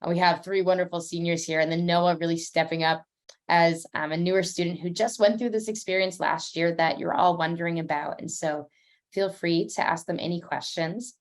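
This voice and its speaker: A young woman hosts an online school panel, talking in an upbeat, chatty way with plenty of "um"s. Her voice sits a little low for a woman. She comes through a video call. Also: fairly even intonation, normal speed. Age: young adult. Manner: chatty, upbeat, many fillers. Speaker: admissions officer hosting an online panel. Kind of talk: casual online panel hosting.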